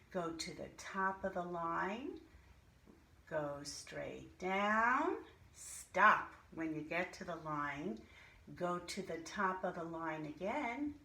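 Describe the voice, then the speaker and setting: A woman teacher leading an interactive alphabet lesson for young children, speaking deliberately in a sing-song way and addressing pupils directly with pauses. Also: lowish voice, sharp tone. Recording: echoey room with light background noise.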